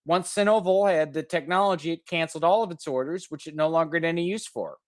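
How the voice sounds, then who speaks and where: A man hosts a news reading with his own commentary. His voice sits a little high, recorded dry and close. He moves between reading prose and skeptical, opinionated asides. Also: quick pace, no echo. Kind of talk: reading articles aloud with sardonic ad-libbed asides.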